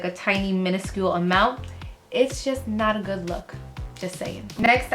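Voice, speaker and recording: Chatty young woman, sick, rough voice. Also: mid-range voice. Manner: chatty, rambling, fillers, trails off while working. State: sick, voice lower and rougher than usual. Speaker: beauty vlogger. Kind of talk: casual makeup tutorial chat. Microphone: camera close up in front of face.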